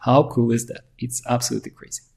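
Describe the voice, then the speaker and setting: A man presenting a software tutorial, walking through a demo in excited, fast talk full of restarts. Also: medium pitch, creaky. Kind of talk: screen-share software walkthrough.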